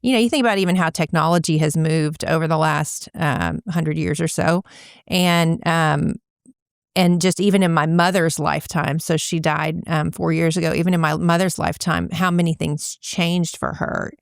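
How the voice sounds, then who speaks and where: A woman's voice: low-pitched, fairly even intonation, normal speed, gravelly, clean tone, no room sound, clean studio sound. A middle-aged woman, an author being interviewed in casual chat, articulate and thoughtful, speeding up as she explains. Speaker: author and guest.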